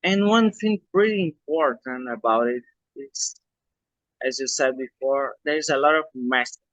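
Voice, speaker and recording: A man interviewing an author, speaking slowly and earnestly and addressing the viewers directly. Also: medium pitch, fairly bright tone, no room sound, low-quality recording. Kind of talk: interview questions.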